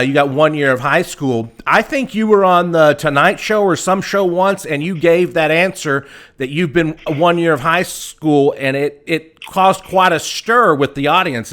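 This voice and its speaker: A man in his forties hosts a casual interview. His voice sits a little high, and his questions come out eager, with frequent restarts. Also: fairly bright tone. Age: 40s. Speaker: host, memory trainer.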